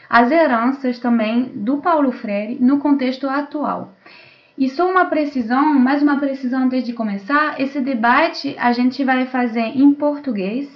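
Woman moderator, higher-pitched, muffled online audio. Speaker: host and moderator. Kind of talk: panel introduction, semi-formal. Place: remote video call. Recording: dull lo-fi online call audio.

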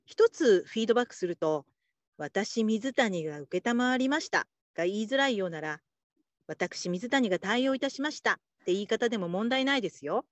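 A woman playing a patient, supportive call-centre supervisor in a radio drama, calm and politely instructive. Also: fairly high pitch, moderate pace, no room sound, close to an everyday voice. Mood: gentle, instructive. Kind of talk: Japanese radio drama acting.

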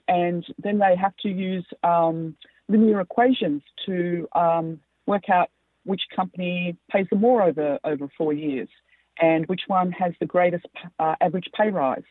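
A woman with an Australian accent, a company founder answering interview questions, speaking haltingly with many fillers. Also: lowish voice, dry recording. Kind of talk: interview over the phone. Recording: tinny dry phone line.

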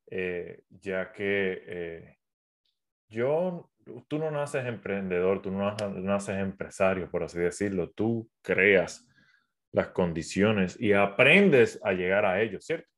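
A man from Puerto Rico with a Puerto Rican accent softened by years in South America, giving business advice on a casual live stream, talking straight to his viewers with many fillers and restarts. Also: lowish voice. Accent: Puerto Rican Spanish with a South American mix. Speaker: entrepreneur and business coach. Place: at home, phone on a tripod.

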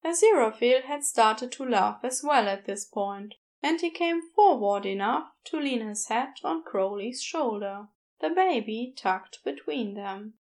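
A woman's voice: on the high side, lively intonation, measured pace. A woman reading scripted fiction as an audiobook narrator.

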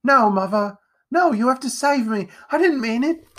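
A man voicing a frightened boy who pleads and rages, his voice rising high. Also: animated, moderate pace, a bit bright. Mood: pleading.

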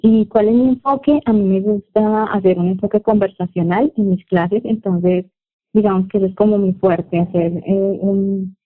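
A Colombian woman, a Spanish teacher, chatting relaxedly as an interview guest, pausing often on "eh". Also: medium pitch. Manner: relaxed, with frequent "eh" pauses. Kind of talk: casual interview chat. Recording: tinny phone-like line.

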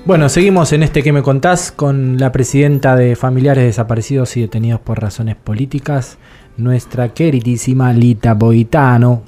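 Interviewer: Argentine accent, middle-pitched and mellow, colloquial, familiar with the guest. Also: fairly even intonation, normal speed, faint music behind. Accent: Argentine Spanish. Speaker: interviewer, show host. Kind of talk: informal interview chat.

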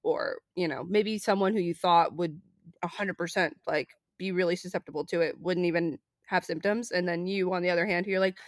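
A woman's voice: on the low side, fairly even intonation, a little fast, no echo, clean studio sound. A woman co-hosting a podcast, chatting casually and reassuringly and laughing easily. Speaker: podcast co-host. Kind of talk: casual chat.

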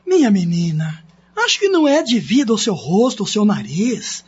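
A woman playing a calm, honest plastic surgeon in a radio drama, husky, soothing and frank. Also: mid-range voice, normal speed, muffled recording.

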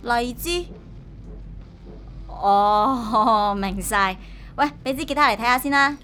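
A woman's voice: a little high, expressive, slow pace, sharp tone, a little hiss or hum, exaggerated voice. A woman acting a breezy, laid-back tattoo artist in a radio drama, with a Hong Kong Cantonese accent. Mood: amused, casual.

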